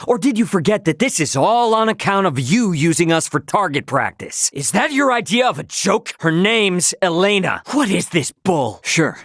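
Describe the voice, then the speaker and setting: Brash young captain, studio-dry voice. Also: male voice, high-pitched, big pitch swings, normal speed, few pauses, fairly bright tone, dry recording, full character voice. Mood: irritated, incredulous. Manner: informal, slangy, plain-spoken. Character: brash, casual young starship captain, cocky but loyal man. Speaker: video game voice actor. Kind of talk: English game dub character dialogue.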